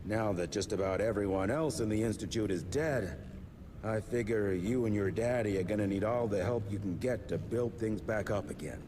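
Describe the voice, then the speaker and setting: A man with an American accent playing a gruff, foul-mouthed, loyal veteran monster hunter in a video game cutscene. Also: lowish voice, normal speed, noisy background, close to an everyday voice. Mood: steady, dry.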